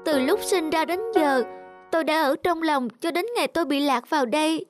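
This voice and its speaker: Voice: high voice, fairly quick, lightly acted. An actor voicing a shy, dejected young parrot in a cartoon dub, with music behind. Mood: dejected, defensive. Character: shy, gloomy young parrot who cannot fly. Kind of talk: cartoon dubbing. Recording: loud music under the voice.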